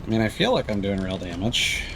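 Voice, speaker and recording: Animated man streaming a game. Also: lowish voice, fairly quick, gravelly, music in the background, low-quality recording. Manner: exclamatory, thinking aloud. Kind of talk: casual live gameplay commentary. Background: video game sound.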